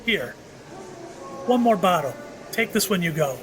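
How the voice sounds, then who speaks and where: A mysterious male shopkeeper, played by an actor in an audio drama, with a high, very lively voice. He is courteous and apologetic, hesitating as he offers cryptic remarks, over clear background noise. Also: measured pace. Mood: apologetic, kindly.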